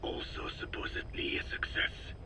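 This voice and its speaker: An actor playing a frail, grave old scientist in a video game, speaking in slow, formal, halting phrases with a breathy rasp. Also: male voice, noisy background, lightly acted. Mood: grave, explanatory. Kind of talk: dramatic video game dialogue.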